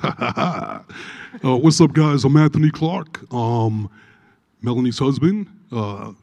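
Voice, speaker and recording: A man with a Brooklyn accent speaks in an upbeat, joking way. His voice is warm and mellow. The sound is dry and close. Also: medium pitch, animated, slow, creaky, no room sound. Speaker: life coach.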